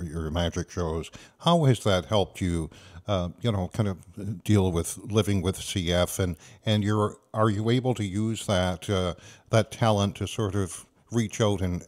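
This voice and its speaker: A man's voice: low voice, unhurried, a little mellow. A man hosting an interview, asking questions with hesitant restarts. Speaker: host.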